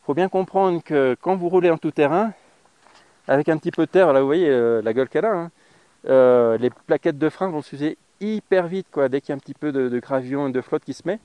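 A man's voice: a little high, a little fast, dark tone, smooth, no room sound. Chatty man outdoors on a clip mic. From France. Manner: chatty, blunt, slangy, full of fillers. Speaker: motorcycle travel vlogger. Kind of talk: casual how-to talk to camera. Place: outdoors on a grassy roadside. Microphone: lapel mic on riding jacket.

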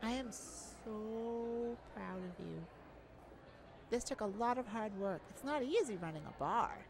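A woman's voice: a little high, animated, unhurried, a crowd in the background. A woman voicing an affectionate, modest young male bar singer in an intimate ASMR roleplay, sweet-talking and hesitant.

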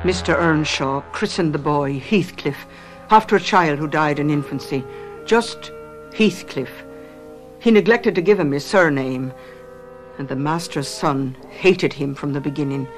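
Earnest housekeeper in a radio play, lowish voice, telling her story, soft music behind. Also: unhurried. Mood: reflective. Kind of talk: radio drama acting and narration.

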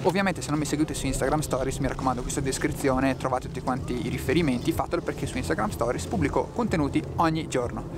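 A man's voice: mid-range voice, quick pace, hardly any pauses, over music. A young man talking to a handheld camera as a travel vlogger, chatty and upbeat, speaking directly to his viewers. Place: mountain outdoors and hotel room. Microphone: handheld camera at arm's length.

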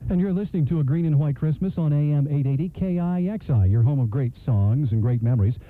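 A man's voice: on the high side, fast, soft background music. A man hosting on the radio, announcing a program in a scripted broadcast style. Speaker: radio station host. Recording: muffled narrow-band AM radio.

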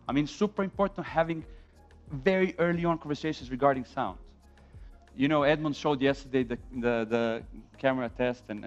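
A man in his thirties from Serbia with a Serbian accent, giving an informal, emphatic talk as a conference speaker. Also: fairly high pitch. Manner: animated, emphatic, rhetorical questions. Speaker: conference speaker on film sound. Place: dim indoor conference room, on stage. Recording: dull lo-fi event recording with music.

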